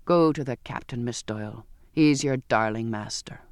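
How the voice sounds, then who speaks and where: A big, bitter sailor cook, played by a male narrator in a storybook. He growls bluntly and scornfully, pitched down low, with a very dry, close sound. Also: moderate pace, no room sound, natural voice. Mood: gruff, dismissive. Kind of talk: audiobook character voice.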